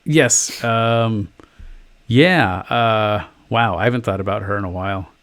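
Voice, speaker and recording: A man with a low, gravelly voice chats casually as a podcast co-host. He swears freely, jokes, and drifts into fillers and restarts. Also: unhurried, a bit bright. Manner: profane, joking, trailing off into fillers. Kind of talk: casual chat.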